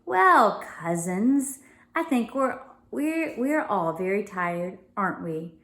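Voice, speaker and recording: A woman's voice: medium pitch, very animated, slow, fairly bright tone, heavily acted. A woman voicing an astonished, eager young girl in a children's storybook, exclaiming in a polite, old-fashioned way. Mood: feigned delight. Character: wide-eyed young girl, astonished and eager to play along.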